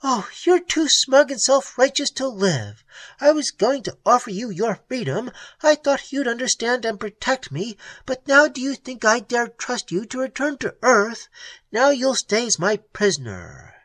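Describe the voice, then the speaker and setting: A reader voicing a proud young woman outlaw who turns from soft and wistful to shrill anger, in an audiobook. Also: huge pitch swings, a little slow, husky, no room sound, exaggerated voice. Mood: bitter. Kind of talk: audiobook character voice.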